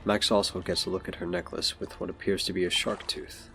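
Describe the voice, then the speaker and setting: A man's voice: low-pitched, little pitch movement, a little fast, fairly bright tone, a little hiss or hum. A man delivering scripted narration as the narrator of an audio drama.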